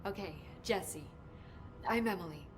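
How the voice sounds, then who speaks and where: An actress playing an eager, brainy young scientist's assistant in a video game, talkative and halting. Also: female voice, on the low side, over music, natural voice. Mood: earnest, flustered. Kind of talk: cinematic game acting.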